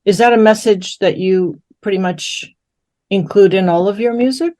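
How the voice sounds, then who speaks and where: A woman's voice: mid-range voice, moderate pace, dark tone. A woman interviewing in a conversational, reflective way, rephrasing her questions as she goes to check she understands.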